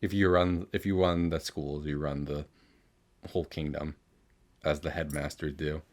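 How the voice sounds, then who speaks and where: A man's voice: a bass voice, flat delivery, moderate pace, a little mellow. A young man giving a casual, rambling recap as a podcast host, swearing freely as he goes. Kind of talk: casual chatty recap of an animated series.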